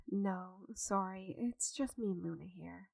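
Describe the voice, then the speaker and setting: A woman playing a friendly, chatty, slightly scatterbrained forest witch in an ASMR roleplay audio drama, with a gentle, theatrical manner. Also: mid-range voice, slow pace, gravelly, no echo. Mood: nervous feigned innocence.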